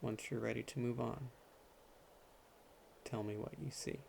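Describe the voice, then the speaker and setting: A man playing a calm, probing psychotherapist in an ASMR roleplay, guiding a dream session in a soothing, deliberate, hypnotic manner. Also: medium pitch, gravelly, slight background noise. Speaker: ASMR performer.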